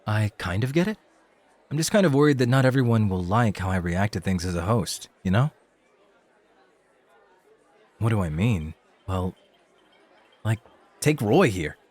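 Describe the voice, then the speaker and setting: A man's voice: lowish voice, animated, measured pace, pauses often, darkish tone, a faint crowd. A man playing a young rookie club host in an ASMR roleplay, casual and cheeky, with bravado over self-doubt. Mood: unsure, curious.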